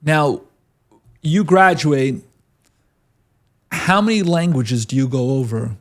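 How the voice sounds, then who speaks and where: A man's voice: fairly high pitch, animated, takes it slowly. A man hosting an interview, asking chatty, leading questions and often adding "right?". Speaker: podcast host. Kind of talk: conversational interview.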